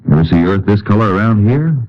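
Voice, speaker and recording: Actor as laconic American Texas Ranger, muffled old radio. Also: male voice, lowish voice, lively intonation, fast, natural voice. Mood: grave, determined. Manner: dry, clipped, folksy and understated. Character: laconic, determined Texas Ranger investigator, narrating his case. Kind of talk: 1950s radio drama acting and narration.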